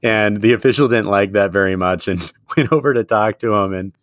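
A man's voice: on the low side, fairly quick, fairly bright tone, slight background noise. A man who is a middle school teacher, co-hosting a casual sports podcast and laughing often as he talks. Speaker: podcast co-host.

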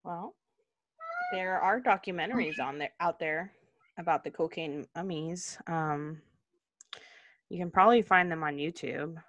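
A woman's voice: sits low, unhurried, long pauses, fairly bright tone, no echo, highs cut off. A young woman co-hosting a podcast, chatting casually, laughing often and trailing off with ums. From Cuba. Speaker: podcast co-host.

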